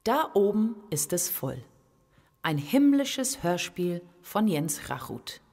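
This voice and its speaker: A woman's voice: lowish voice, very animated, takes it slowly, vocal fry, darkish tone. A woman announcer reading scripted credits for a radio play.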